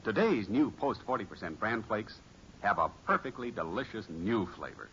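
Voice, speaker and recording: Male vintage commercial announcer, bright, persuasive, animated, with dull hissy sound. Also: on the low side, normal speed. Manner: polished, emphatic, persuasive. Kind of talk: 1950s radio advertisement. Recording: muffled, hissy old radio recording.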